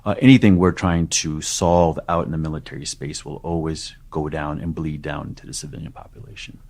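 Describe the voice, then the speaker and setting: A man originally from Pakistan, a native Urdu speaker, answering interview questions as an IT executive in a calm, matter-of-fact way. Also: extremely low pitch, fairly quick, gravelly. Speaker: guest, IT executive. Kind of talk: conversational interview.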